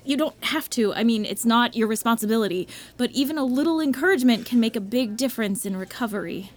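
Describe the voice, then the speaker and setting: A woman's voice: a little high, quick pace, natural voice. A woman acting as a kind, slightly awkward, practical hospital staffer in an audio drama, reassuring and prone to stammering. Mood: careful, explaining.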